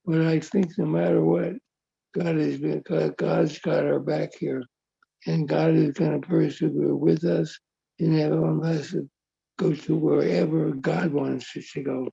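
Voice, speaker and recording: Gravelly-voiced man, earnest and grateful. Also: a little high, flat delivery, unhurried, warm tone. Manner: earnest, grateful, repetitive and spiritual. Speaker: community member speaking on a group call. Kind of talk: emotional heartfelt testimony. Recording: dull lo-fi call audio.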